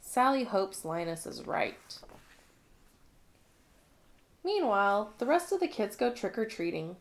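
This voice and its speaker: A woman narrating a children's picture book in an expressive read-along style. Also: medium pitch, unhurried. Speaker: storybook reader.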